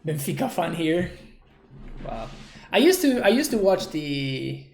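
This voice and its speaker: A man's voice: a little high, sing-song, a little slow, darkish tone. A man from Argentina, streaming a card game and thinking aloud as he works through his plays. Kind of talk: live card game commentary. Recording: roomy echo.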